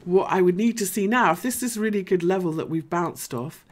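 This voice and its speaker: Deep-voiced woman chatting through charts. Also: a little fast. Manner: casual, chatty, thinking aloud. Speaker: host. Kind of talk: live market analysis.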